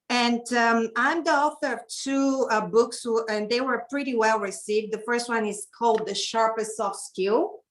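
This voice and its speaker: Higher-voiced middle-aged Bulgarian woman. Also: a bit bright, poor audio. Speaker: guest keynote speaker and etiquette consultant. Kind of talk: informal self-introduction speech. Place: online video call.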